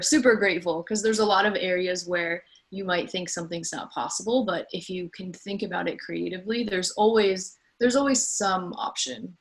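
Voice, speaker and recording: A woman in her thirties speaks in a slightly low register. She sounds conversational and earnest, like a guest telling her own story on a panel, and sometimes repeats words for emphasis. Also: fairly bright tone. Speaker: designer and small business owner, panel guest. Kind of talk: informal panel talk about own career.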